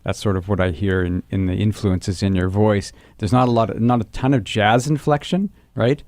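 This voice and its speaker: A man's voice: fairly low pitch, steady tone, moderate pace, darkish tone, no echo. A Canadian man hosting a casual radio interview, asking questions conversationally. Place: radio studio.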